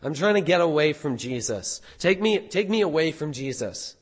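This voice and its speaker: Hoarse preacher with ringing hall reverb. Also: male voice, a little high, normal speed. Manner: animated preaching with rhetorical questions. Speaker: pastor. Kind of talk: sermon.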